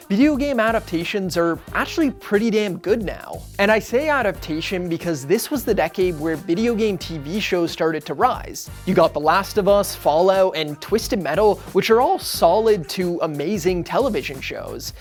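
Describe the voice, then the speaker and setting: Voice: mid-range voice, expressive, moderate pace, few pauses, faint music behind. A video essay host reading scripted narration in an explanatory way.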